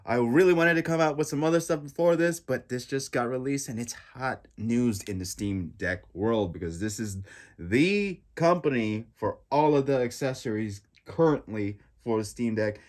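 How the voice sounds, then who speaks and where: A man's voice: mid-range voice, normal speed. A man with a congested, stuffy nose giving a casual, rambling reaction review of a gadget, full of fillers and restarts. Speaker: tech reviewer.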